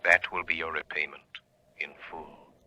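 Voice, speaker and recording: An actor playing a mysterious, benevolent spirit-like avenger in a vintage radio drama, speaking in a solemn, commanding manner. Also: male voice, very deep voice, little pitch movement, moderate pace, bright, forceful tone, lo-fi sound, full character voice. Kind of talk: 1930s radio drama acting.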